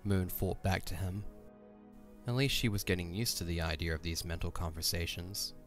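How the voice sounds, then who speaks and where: Male narrator, deep register, scripted dramatic fantasy storytelling over a music bed. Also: fairly even intonation, normal speed, fairly bright tone.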